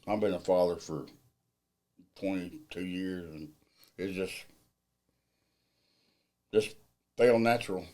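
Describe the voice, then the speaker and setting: Deep-voiced plainspoken middle-aged man. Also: takes it slowly, pauses often. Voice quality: loud voice. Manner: plainspoken, folksy, unpolished grammar. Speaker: field crew general foreman. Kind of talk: casual interview answers.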